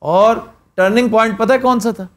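A middle-aged man hosting a studio chat show, telling stories and reciting verse in an animated way. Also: extremely high pitch, moderate pace. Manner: animated, storytelling with quoted voices. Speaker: host. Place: TV studio set.

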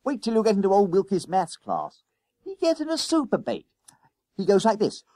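Storybook schoolboy gossip, played by a man: eager, slangy, high, bouncing intonation. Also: huge pitch swings, moderate pace, dark tone, dry recording, studio quality. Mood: excited. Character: gossipy, excitable schoolboy who relishes stirring trouble.

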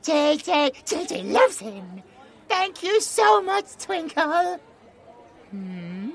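A man's voice: very high voice, big pitch swings, very slow, vocal fry, full character voice. A British man voicing a childlike possessed young man who talks in broken baby-talk about himself in the third person, in an ASMR roleplay. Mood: childlike, excited.

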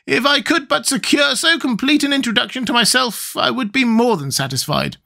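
A reader voicing a huge, gloomy winged storybook creature in a squeaky voice with formal speech. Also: lively intonation, moderate pace, gravelly, pushed and bright. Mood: careless, wry.

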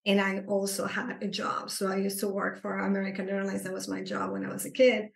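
Woman, gravelly voice, roomy echo, earnest explainer. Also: medium pitch, a little fast. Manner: earnest, explanatory, animated when stressing points. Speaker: psychotherapist guest. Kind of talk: conversational interview. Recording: echoey room.

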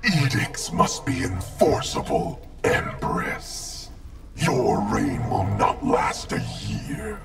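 A man voicing a cold, arrogant wraith ninja clan leader in a video game, gravelly, haughty and threatening. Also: fairly low pitch, wildly swooping pitch, slow, exaggerated voice. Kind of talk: video game character dialogue. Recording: music behind the voice.

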